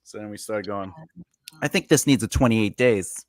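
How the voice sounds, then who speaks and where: A man's voice: lowish voice, moderate pace, no room sound, studio quality. A man chatting casually on a livestream, explaining things at length and cracking jokes. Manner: animated, explains at length, jokey.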